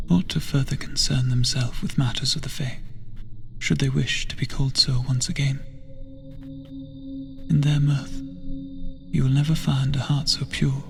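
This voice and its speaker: A man playing a gentle, guilt-ridden lantern bearer in a fantasy video game, speaking softly in old-fashioned phrasing, half-whispered, hesitant and solemn. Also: medium pitch. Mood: wistful. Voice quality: breathy, husky, half-whispered. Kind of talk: soft-spoken video game NPC dialogue, theatrical archaic phrasing.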